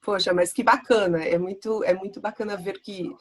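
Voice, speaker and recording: A woman's voice: medium pitch, steady tone, moderate pace. A Brazilian woman hosting an interview, with a chatty, enthusiastic way of asking questions. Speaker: host.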